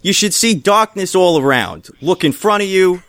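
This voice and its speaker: Man reading like a lively pitchman. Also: moderate pace, dry recording. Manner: mock pitchman delivery while reading. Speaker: podcast co-host reading an article aloud. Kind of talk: comedic podcast reading with banter.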